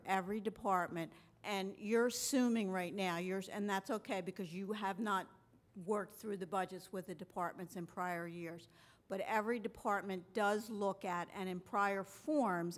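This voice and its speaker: Female municipal finance officer, middle-range voice, patient explanatory meeting delivery. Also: medium pitch. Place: council chamber.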